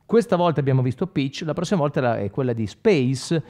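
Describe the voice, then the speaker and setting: A man's voice: mid-range voice, expressive, a little fast, warm tone. A man giving a casual, spontaneous product tutorial as a guitarist, in broken, informal phrases. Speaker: guitarist demonstrating an effects pedal. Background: electric guitar with effects.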